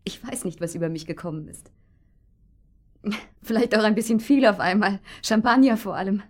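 An actress playing a gracious, reserved but softly flirtatious woman being courted in a German radio drama, speaking in a measured way. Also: mid-range voice, close to an everyday voice. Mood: forgiving, embarrassed.

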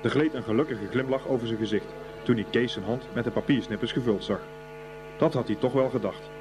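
A man's voice: medium pitch, fairly quick, background noise, lightly acted. A man narrating a scripted boys' school story for a radio play. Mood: storytelling.

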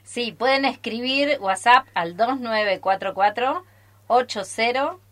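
A woman's voice: fairly high pitch, slow pace. A woman with an Argentine accent talks casually and enthusiastically on live radio as a theatre teacher visiting the studio. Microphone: studio microphone.

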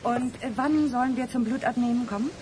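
A woman's voice: on the high side, a little fast, background noise, natural voice. A woman playing a politely anxious, earnest mother in a German children's radio play. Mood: concerned, earnest.

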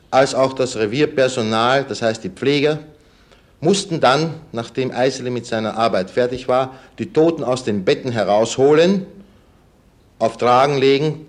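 An actor playing a sober witness giving halting, matter-of-fact testimony in a documentary radio drama. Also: male voice, mid-range voice, natural voice.

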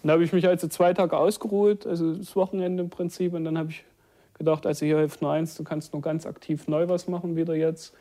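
A man's voice: fairly high pitch, little pitch movement, fairly quick, warm tone. A man giving a conversational personal recollection as an eyewitness.

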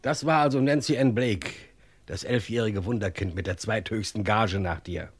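An actor playing a blunt, sardonic film star's sidekick in a radio crime drama, with a husky voice and dry, insistent delivery. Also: male voice, lowish voice, normal speed. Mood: dry, emphatic.

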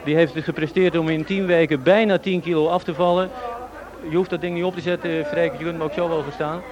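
A man's voice: fairly high pitch, fairly even intonation, brisk. A man with a Netherlandic Dutch accent presenting live on location in an informal, chatty way, directing people around. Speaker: radio host. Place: outdoors on a residential street. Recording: outdoor street recording with music and crowd. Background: loud music, crowd, traffic.

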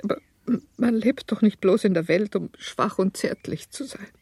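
Stern mother: middle-aged, husky, proper and insistent, closely recorded radio drama. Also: medium pitch, moderate pace, a little mellow, no room sound. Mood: reproachful, insistent. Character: middle-aged mother, principled, stern, socially anxious wife. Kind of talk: radio play dialogue.

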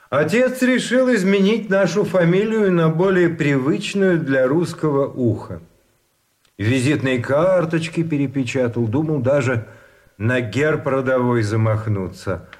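An actor playing a pedantic, wordy gentleman prisoner of war in a radio play, reasoning in a measured way. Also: mid-range voice. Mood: wistful. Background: instrumental music.

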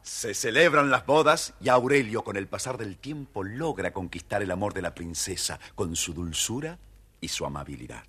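A man with a husky voice narrating a children's tale theatrically, with dramatic pauses. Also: on the low side, sharp tone. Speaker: storyteller.

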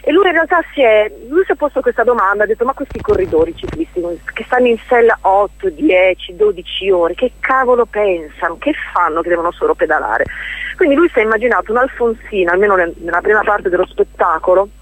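A woman's voice: mid-range voice, expressive, fast, rough recording. A woman answers interview questions conversationally, often hesitating and rephrasing as she explains. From Romagna, Italy. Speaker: stage actress, interview guest.

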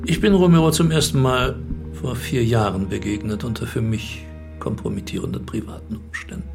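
Gravelly suave bank director played by a man. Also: medium pitch, normal speed, over music, lightly acted. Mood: confiding, suave. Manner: formal, discreet, polished. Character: male bank director, guarded, worldly, suave high-society man. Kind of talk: radio drama acting.

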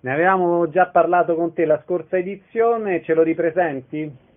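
A man's voice: a little high, steady tone, normal speed. Male interviewer, thin phone-like sound. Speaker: radio interviewer. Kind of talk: interview questions and introductions. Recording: thin, band-limited radio sound.

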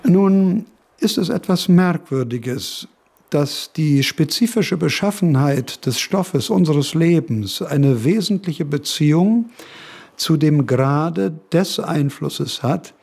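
An actor playing a pensive, scholarly eighteenth-century male philosopher in a radio drama, speaking in a deliberate, formal, learned manner. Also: fairly high pitch, animated, creaky, darkish tone, breathy edge, full character voice. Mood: lecturing, measured. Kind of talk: radio drama monologue and lecturing.

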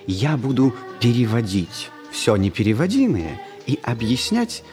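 An actor playing a cheerful young Polish student who narrates a radio comedy, addressing listeners with playful, mock-ceremonial courtesy. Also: male voice, medium pitch, huge pitch swings, unhurried, darkish tone. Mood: showy, teasing. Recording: 1960s radio play with music behind.

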